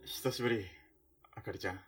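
A man playing an awkward, evasive former soldier in a radio drama, speaking in a hesitant, halting way. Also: lowish voice, fairly bright tone. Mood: reserved. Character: awkward, evasive male ex-soldier, reluctant bearer of news. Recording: distant from the mic.

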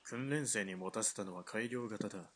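A calculating middle-aged officer performed by a man in a military radio drama. He has a low, raspy-breathy voice and talks down to others in measured phrases. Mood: measured, self-justifying. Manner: measured, condescending officer's speech. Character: smooth-talking, calculating middle-aged army lieutenant colonel.

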